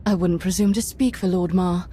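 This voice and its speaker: A woman's voice: on the low side, fairly even intonation, moderate pace, dark tone, a little hiss or hum, close to an everyday voice. A woman playing a courteous, respectful warship captain in a video game, with a formal, deferential way of speaking. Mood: polite welcome. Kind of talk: video game cutscene acting.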